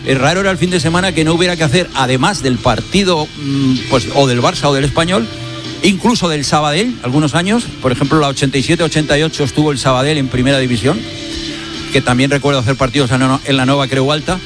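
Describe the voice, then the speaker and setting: A man in his sixties from Barcelona, a veteran radio sports reporter, reminiscing in a casual interview with many pauses and fillers. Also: fairly high pitch, music in the background. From Spain (Barcelona). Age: 60s.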